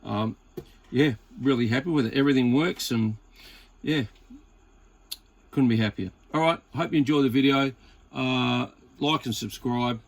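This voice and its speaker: A man's voice: medium pitch, moderate pace, pauses often, no room sound, poor audio. A man with an Australian accent chatting casually and hesitantly as he presents a hobby video, rambling in a laid-back way. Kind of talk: casual chat while demonstrating equipment.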